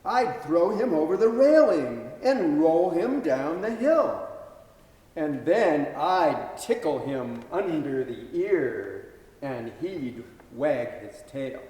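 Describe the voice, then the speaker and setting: A man playing a boastful young boy in a staged dramatic reading, with lively, drawn-out boyish bragging. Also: a little high. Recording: very echoey hall.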